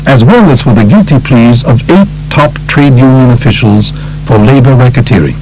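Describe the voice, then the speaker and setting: A man's voice: mid-range voice, a little mellow, poor audio. A man narrating a true-crime book from a script. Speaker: audiobook narrator.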